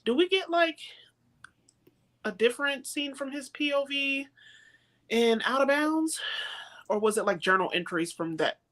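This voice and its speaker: A woman's voice: high voice, lively intonation, a little slow, long pauses, a bit bright. A woman chatting casually about books on a live show, speaking colloquially and with emphasis. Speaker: book readalong live show host. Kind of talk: casual chat.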